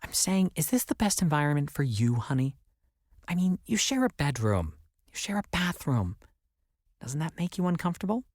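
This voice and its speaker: A man's voice: a little high, big pitch swings, normal speed, no room sound, clean studio sound, voice pushed up. A man voicing a fretful, disapproving middle-aged mother who talks in an indignant, insistent manner. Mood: prim discomfort.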